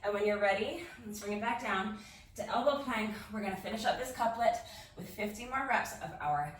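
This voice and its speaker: A woman's voice: mid-range voice, fairly quick. A woman leading a home workout with a lingering cough and a phlegmy throat, counting reps and encouraging listeners. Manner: encouraging, counts reps aloud while exercising. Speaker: fitness instructor. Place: home room. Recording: very echoey room, distant mic, faint noise.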